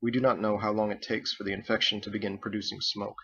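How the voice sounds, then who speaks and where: A stern woman executioner from an audiobook, read by a man in his own low voice. The delivery is formal, measured and coldly logical, with a crisp, bright edge. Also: level tone, dull audio, full character voice. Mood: cold, composed. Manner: formal, measured, clipped without contractions.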